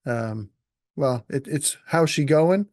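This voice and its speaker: A man's voice: fairly high pitch, lively intonation, slow, a little mellow, no echo, clean studio sound. A man hosting a podcast in an easygoing chat, with many ums and frequent laughs. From New Brunswick, Canada. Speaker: podcast host. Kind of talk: casual interview chat.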